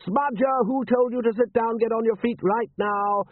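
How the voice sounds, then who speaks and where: A man's voice: extremely high pitch, steady tone, normal speed, dry recording, voice pushed up. A man voicing a bullying army sergeant with clipped, commanding orders in an audiobook. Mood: stern, barking. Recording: thin, band-limited, phone-like sound.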